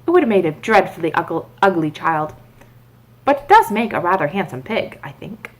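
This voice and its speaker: Woman as Alice, an earnest, lively little girl. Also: on the low side, moderate pace. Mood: musing. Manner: earnest, proper. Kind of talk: children's storybook reading.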